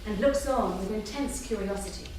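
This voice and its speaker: A woman's voice: mid-range voice, moderate pace. A woman reading scripted stage directions at a staged play reading, recorded in a theatre. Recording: echoey room from far off, faint noise.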